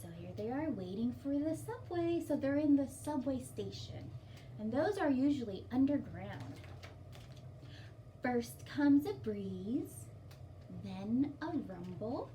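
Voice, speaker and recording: A woman's voice: sits high, animated, slow, mellow. A woman hosting story time for young children, reading a picture book aloud with simple, encouraging explanations and questions. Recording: distant mic, faint background noise.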